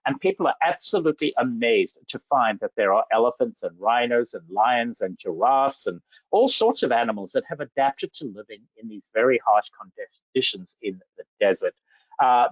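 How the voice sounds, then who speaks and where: A man's voice: medium pitch, no echo. A man from Zambia giving an enthusiastic slide presentation about safari travel, full of superlatives. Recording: dull lo-fi dry audio.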